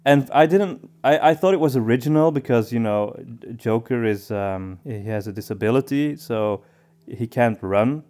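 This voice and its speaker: Clear, smooth-voiced man chatting, softly mellow. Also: mid-range voice, moderate pace. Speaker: podcast co-host. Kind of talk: casual conversational discussion.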